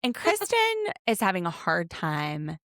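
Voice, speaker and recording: A woman's voice: lowish voice, measured pace, no room sound, clean studio sound. A woman with a Southern accent co-hosting a podcast, recapping a reality show in a chatty, playful way. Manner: chatty, animated, playful asides. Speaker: podcast co-host. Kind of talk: casual recap chat.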